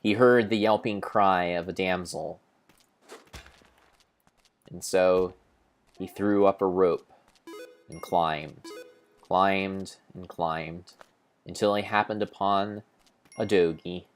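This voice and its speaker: A low-pitched man narrates gameplay as if it were a grand epic tale. His delivery is theatrical and solemnly overblown, made up as he goes, with deliberate pauses and the odd corrected word. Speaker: narrator of a video game playthrough. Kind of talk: mock-epic dramatic storytelling, improvised.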